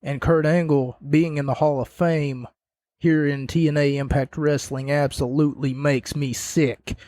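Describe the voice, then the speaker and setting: A male podcast host talks through match recaps at an unhurried, drawn-out pace, wandering off on sarcastic tangents and correcting himself. His voice sits a little high for a man and is starting to go hoarse. The sound is dry and close, with no room echo. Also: fairly even intonation, mellow. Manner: rambling, sarcastic asides, self-correcting. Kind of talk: casual solo podcast recap.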